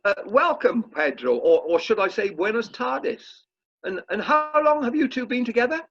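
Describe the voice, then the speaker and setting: A man's voice: high voice, animated, normal speed, fairly bright tone, breathy edge, heavily acted. A man playing a fussy, polite amateur dramatics director in a comic radio play, stammering and tactfully effusive. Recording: slightly dull video call audio.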